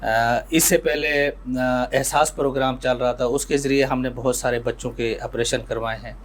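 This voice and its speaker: A Pakistani man speaks as a surgeon being interviewed, in a mid-range voice with light ambient noise behind him. He explains in measured, pausing phrases and drops in English medical terms. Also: mid-range voice, steady tone. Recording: noticeable background ambient noise.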